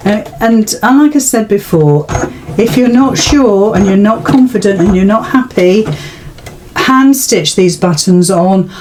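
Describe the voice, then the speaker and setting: A woman's voice: mid-range voice, expressive, unhurried, a little mellow, slight background noise. A British woman giving an informal, step-by-step craft demonstration in a chatty, reassuring way. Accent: British English. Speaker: sewing tutorial host.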